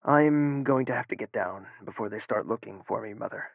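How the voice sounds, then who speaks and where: An actor playing a reserved, anxious young prince in an audio drama, hesitant and guarded. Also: male voice, fairly low pitch, brisk, gravelly, no echo, phone-quality sound. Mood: guarded, subdued. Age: young adult.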